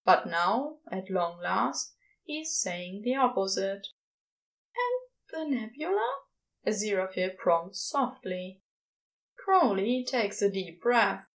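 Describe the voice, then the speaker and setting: A woman reading scripted fiction aloud as an expressive story narrator. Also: medium pitch, slow, low-quality recording. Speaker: podfic narrator.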